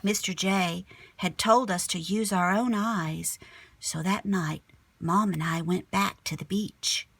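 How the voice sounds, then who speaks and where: A woman's voice: lowish voice, steady tone, slow, vocal fry, fairly bright tone, breathy edge, no echo. A woman teacher reading a picture book aloud expressively, with chatty asides aimed at young children. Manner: addresses young listeners with simple questions and asides.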